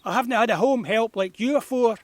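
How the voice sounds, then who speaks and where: Grumpy, wry Scottish man of eighty played by a male actor, broad dialect, fairly high voice, audio drama, close dry sound. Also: a little fast, no room sound. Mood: wry, brisker. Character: eighty-year-old grumpy but wry Scottish man living alone.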